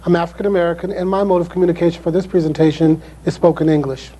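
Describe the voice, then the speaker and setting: A man from New Orleans giving a quick, formal self-introduction at a presentation. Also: on the high side, fairly even intonation, warm tone. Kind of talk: recorded panel presentation.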